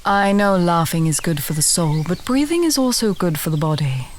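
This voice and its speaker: Over a faint bed of outdoor ambience comes a woman playing a fantasy alchemist with a slightly low voice. She is chatty and instructive, speaking straight to the listener like a guide to wild herbs, with a dry, wry wit. The style is intimate audio roleplay. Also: expressive, moderate pace, slight background noise, close to an everyday voice. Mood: wry, amused. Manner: conversational, instructive, drily humorous. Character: chatty, wry woman alchemist herb gatherer, afraid of giant spiders.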